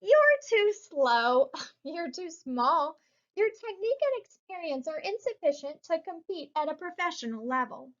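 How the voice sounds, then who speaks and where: A woman reads a boastful monster truck brother in a picture book. He is mocking and taunting, in a high register, with slightly dull sound. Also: big pitch swings, measured pace, heavily acted. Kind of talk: children's picture book read-aloud. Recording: muffled lo-fi recording.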